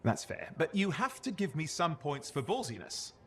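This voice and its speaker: A man's voice: on the high side, very animated, moderate pace. An actor playing a cheeky, playful young man in a video game, with a teasing, flippant manner that covers old hurt. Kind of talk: video game cutscene acting.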